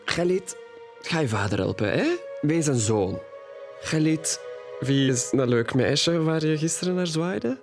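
Wounded young man, creaky voice, slow halting delivery, gentle, confessional, audio drama. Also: medium pitch. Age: young adult. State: weak from injury. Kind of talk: Dutch audio drama acting, intimate monologue.

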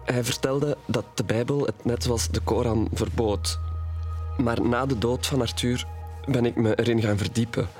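A man's voice: medium pitch, a little mellow, natural voice. A man acting in an audio drama as a badly injured, earnest young believer, confiding and hesitant. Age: young adult. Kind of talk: intimate audio drama dialogue.

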